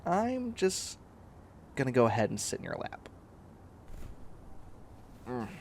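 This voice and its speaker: Animated, whiny, bossy catboy, acted by a man. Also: medium pitch, slow pace, vocal fry, a little hiss or hum, heavily acted. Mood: clingy, bossy. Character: bossy, clingy young man turning into a catboy. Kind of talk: comedic roleplay audio drama acting.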